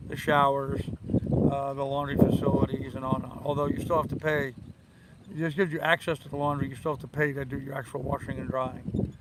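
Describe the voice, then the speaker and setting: An older man with a hoarse voice, hosting a rambling, casual live stream in a halting, repetitive way. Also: mid-range voice. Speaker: live-stream host of a sailing channel. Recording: loud wind and boat noise.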